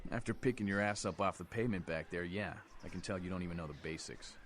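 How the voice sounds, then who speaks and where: A man with an American accent playing a gruff, defiant veteran vampire rebel in a video game, blunt and folksy. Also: low-pitched, fast, fairly bright tone. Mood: gruff, instructive. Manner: tough, folksy, blunt, contemptuous. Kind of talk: video game dialogue acting. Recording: music behind the voice.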